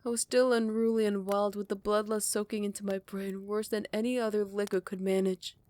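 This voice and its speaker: Brief: woman plays a proud, ancient vampire queen. Whispers close to the mic, then snaps back with scorn. Dry, middle-pitched voice. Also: flat delivery, a little fast, no echo, lightly acted. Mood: hushed recounting. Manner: hushed whispered storytelling broken by sharp, scornful retorts. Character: proud, haughty female vampire queen, centuries old. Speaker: voice actor. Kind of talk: ASMR roleplay.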